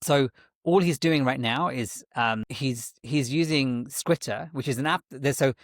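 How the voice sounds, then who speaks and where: A man's voice: mid-range voice, a little slow, no echo. Brief: male interview guest, chatty and rambling, keeps restarting sentences, dry close sound. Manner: rambling, frequent restarts and self-corrections. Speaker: guest, tech executive and parent. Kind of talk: conversational interview.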